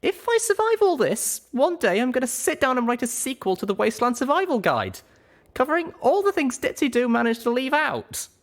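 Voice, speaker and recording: Man putting on a high-pitched plucky young mare. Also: huge pitch swings, fairly quick, exaggerated voice. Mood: wry, determined. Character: young female pony adventurer, plucky and easily flustered.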